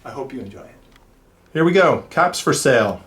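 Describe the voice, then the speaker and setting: A man reading a children's storybook aloud in an expressive, theatrical and deliberate way. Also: medium pitch. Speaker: school leader reading to students.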